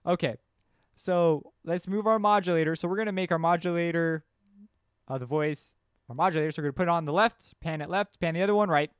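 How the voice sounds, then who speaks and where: Male tutorial host, slightly high, clean voice, informal think-aloud delivery. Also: a little fast, smooth, no echo, clean studio sound. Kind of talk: casual software tutorial narration. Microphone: close mic screencast voice-over.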